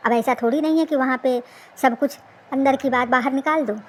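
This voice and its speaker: A woman's voice: high voice, a little fast. A woman giving casual, colloquial gossip commentary in a teasing, exclamatory way with lots of rhetorical questions. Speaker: reaction video host. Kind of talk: casual gossip commentary on a social media dispute.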